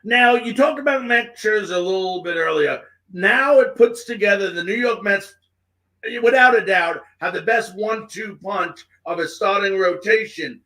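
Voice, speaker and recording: A man's voice: high-pitched, normal speed, bright, forceful tone, low-quality recording. A man hosting a sports show, putting questions to his guest in an interview style.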